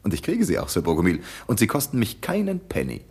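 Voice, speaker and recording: Casting: a sly, mock-courteous schemer, low husky voice, lively pitch. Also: male voice, quick pace, full character voice. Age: young adult. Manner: cocky, mock-polite. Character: cheeky scheming young man, detective's son.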